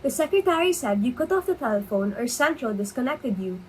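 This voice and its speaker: A woman's voice: high-pitched, sing-song, a little fast, darkish tone, husky, heavily acted, low-quality recording. A woman playing a deferential, formal household servant in a dramatic reading of a stage comedy, polite and dutiful.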